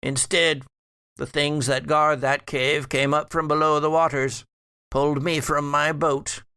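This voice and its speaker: A man playing the bitter, eloquent ghost of a family ancestor in a gothic roleplay game, speaking in formal, halting, deliberate phrases. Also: mid-range voice, vocal fry, bright, forceful tone, no room sound, clean studio sound, exaggerated voice. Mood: grave, confessing. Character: ghostly male ancestor, eloquent, bitter, confessing remnant of himself. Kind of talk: actual-play gothic horror roleplay.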